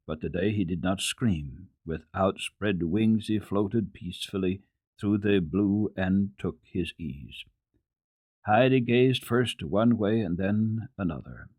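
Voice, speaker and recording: Deep-voiced, expressive male storyteller. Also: no echo, clean studio sound. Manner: expressive, measured storytelling delivery. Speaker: storyteller reading children's classics on radio. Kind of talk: dramatic scripted narration of a children's book.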